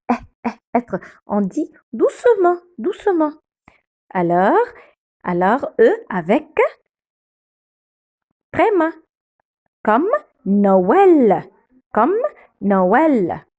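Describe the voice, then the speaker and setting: A woman, a native Arabic speaker, teaching French accent marks slowly and repeating each word for learners. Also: on the high side, a little mellow. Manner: slow, deliberate, repeats words for learners. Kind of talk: slow classroom explanation of French accents.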